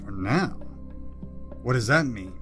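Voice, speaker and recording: Condescending boardroom executive in a radio play, mid-pitched, curtly polite, easily rattled, faint music behind. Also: male voice, lively intonation, takes it slowly. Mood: rattled, irritated. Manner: curt, sarcastic politeness.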